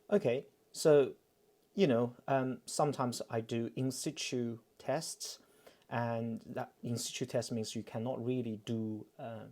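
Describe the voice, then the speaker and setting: A man's voice: medium pitch, mellow. A man explains a technical demonstration informally, repeating words for emphasis and often checking in with a short questioning tag. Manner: checks understanding with frequent "right?" and "okay?", repeats words for emphasis. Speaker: presenter, EMC engineer.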